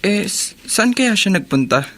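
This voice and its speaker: An actor with a Filipino accent playing a caring, protective older brother, a young man speaking gently and sincerely in a radio drama. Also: high voice, sing-song, unhurried, a little hiss or hum, exaggerated voice. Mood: concerned. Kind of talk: Tagalog radio drama acting. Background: background music.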